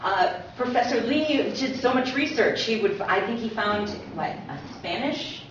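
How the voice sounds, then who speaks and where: A distant, muffled recording of a middle-aged woman presenting in a very echoey room. She explains things conversationally, like an informal lecture, at an ordinary pitch, over faint noise. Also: expressive, measured pace. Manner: conversational, explanatory, often tags points with "right?". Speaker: co-presenter, university teacher. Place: indoor talk room. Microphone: distant from speaker.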